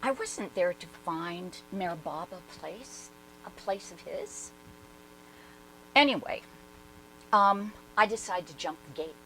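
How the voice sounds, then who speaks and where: A woman's voice: lowish voice, lively intonation, slow pace, pauses often, fairly bright tone, a little hiss or hum, exaggerated voice, rough recording. A woman performing a dramatic reading as a brash, independent-minded woman recalling her past in emphatic, conversational asides. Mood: animated, wondering. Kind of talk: dramatic reading, animated first-person storytelling.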